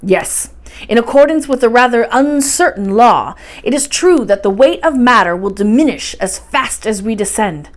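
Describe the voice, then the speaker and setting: A woman's voice: on the high side, close to an everyday voice. A woman voicing an irascible, confident older professor uncle from a storybook, speaking emphatically and brusquely as he lectures.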